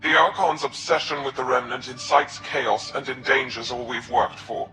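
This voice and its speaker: An actor playing a proud, calculating alien military commander in a video game, speaking formally and with measured authority. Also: male voice, medium pitch, steady tone, sharp tone, soft background music, lightly acted. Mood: stern, resolute. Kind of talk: video game character acting.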